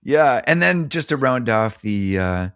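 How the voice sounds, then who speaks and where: A man's voice: medium pitch, sing-song, measured pace, fairly bright tone, smooth, no echo, studio quality. A middle-aged man hosting a podcast, chatting casually in a jokey, self-deprecating way. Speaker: podcast host. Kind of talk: casual chat.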